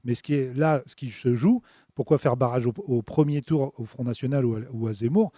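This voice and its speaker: A man's voice: medium pitch, brisk, dark tone, no echo, clean studio sound. A man in a political debate, reasoning aloud with many hesitant fillers.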